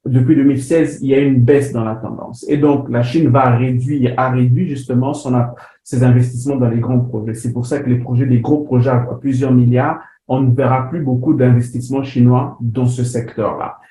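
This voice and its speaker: Halting man giving a webinar talk. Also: medium pitch, fairly even intonation, warm tone. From DR Congo. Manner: hesitant, many fillers and restarts. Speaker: webinar presenter, editor. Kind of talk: online lecture presentation. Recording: roomy sound with faint background noise.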